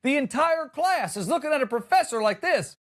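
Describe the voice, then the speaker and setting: A man's voice: very high voice, lively intonation, moderate pace. A man hosting a comedy show, delivering a conversational monologue with exasperated, emphatic punchlines.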